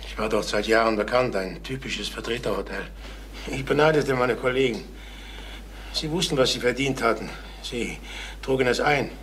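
Desperate, halting older salesman. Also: medium pitch, fairly bright tone, a little hiss or hum. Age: late 50s. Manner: halting, repeating himself, brooding. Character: distraught, desperate travelling salesman near sixty. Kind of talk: German crime radio drama acting.

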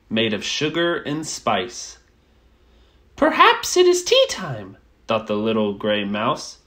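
A woman reading a rhyming children's picture book aloud as a storyteller, with expressive, measured phrasing. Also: a bass voice.

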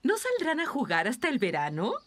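A woman voicing a gentle, easygoing mother cat in a cartoon, with a Latin American Spanish accent. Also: high-pitched, wildly swooping pitch, a little fast, full character voice. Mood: amused, reassuring. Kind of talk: children's cartoon voice acting.

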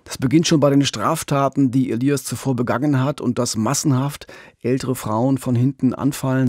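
A man's voice: medium pitch, a little mellow, no echo. A middle-aged man hosting a true-crime podcast in a conversational style, close on a studio microphone. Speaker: podcast host. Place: small radio studio. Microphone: close studio microphone with pop filter.

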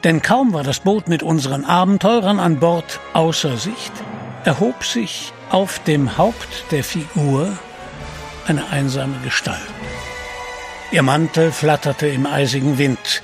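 A man narrating a scripted adventure drama as a solemn, measured storyteller. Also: on the high side.

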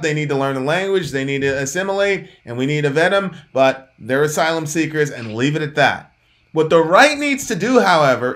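Man, 30s, from Jamaica, emphatic, heated political debate, dark home room, webcam call, bright tone. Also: fairly high pitch. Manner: animated, emphatic, gesturing. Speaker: co-host.

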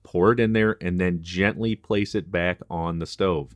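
A man's voice: low voice, steady tone, unhurried, dry recording. A man explaining a recipe step by step as a chef in a cooking tutorial, in a friendly, instructive way. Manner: instructive, friendly, practical kitchen tips.

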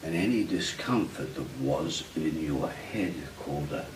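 A middle-aged man working as a hypnotist, giving directive suggestions and questions in short, deliberate phrases. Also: rumbling low, animated. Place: meeting room with glass wall, indoors. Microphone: distant camera mic. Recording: echoey room, distant mic, clear background noise.

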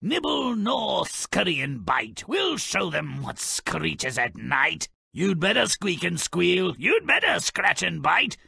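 An actor playing a gleefully malicious, sardonic little fairy-tale troublemaker in a video game, with a raspy, gravelly voice and a theatrical, gloating delivery. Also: male voice, sits high, very animated, slow pace, hardly any pauses, dry recording, studio quality, full character voice. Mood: gleeful, loud. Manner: sardonic, gloating, theatrical, swings from murmur to shout. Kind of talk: theatrical scripted game narration.

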